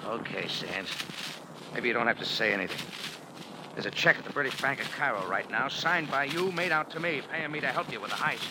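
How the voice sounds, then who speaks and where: Fast-talking hard-boiled American cafe owner played by an actor. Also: male voice, mid-range voice, close to an everyday voice. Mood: accusing. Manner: hard-boiled, wry, clipped. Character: tough, sardonic male cafe owner turned amateur sleuth. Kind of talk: 1940s radio detective drama acting with first-person narration. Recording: noisy old 1940s radio recording.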